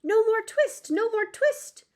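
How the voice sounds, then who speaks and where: Tiny storybook mice, played by a woman, high-pitched, twittering, rude, defiant, singsong. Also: expressive, slow, darkish tone, exaggerated voice. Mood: defiant shouting. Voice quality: small twittering squeak. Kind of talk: storybook read-aloud character voice.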